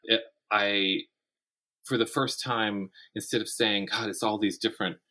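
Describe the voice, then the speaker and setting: A man speaking as a writer guest in a conversational interview, telling things in an animated way and voicing imagined quotes. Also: on the low side, a little slow.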